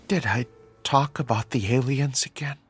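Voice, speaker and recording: A man's voice: mid-range voice, natural voice. An actor playing an anxious, meek man in therapy who believes in aliens, in a radio drama. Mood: uneasy, earnest. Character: anxious, grateful male therapy patient obsessed with aliens.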